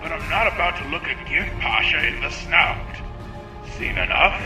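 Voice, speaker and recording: A man plays a cagey, sly schemer in a comic dub. His high voice swings animatedly in an echoey recording, with music clearly behind it. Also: animated, normal speed, lightly acted.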